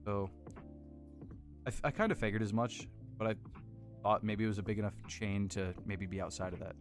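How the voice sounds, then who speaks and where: Deep-voiced American man chatting on livestream. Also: fairly even intonation, fairly quick, over music. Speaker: livestream host building model kits. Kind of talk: casual chat with live viewers. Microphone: close desk condenser microphone.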